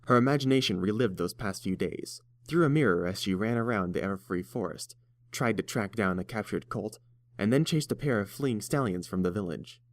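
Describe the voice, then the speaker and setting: Low-pitched male story narrator, dry sound. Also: expressive, brisk, no room sound.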